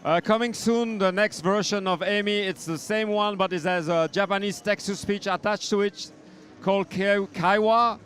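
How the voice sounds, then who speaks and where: A man giving an informal product demonstration as a synth maker, explaining in a halting way. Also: high voice, fairly even intonation, a bit bright. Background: electronic synth sounds and beats.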